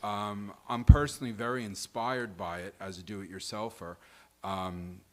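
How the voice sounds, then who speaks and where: A man co-hosting a live table read, talking off the cuff in a wry, playful and deliberate way. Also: lowish voice, steady tone, darkish tone. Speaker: co-host and performer at a table read. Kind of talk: casual off-the-cuff stage talk. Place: live event venue before a small audience.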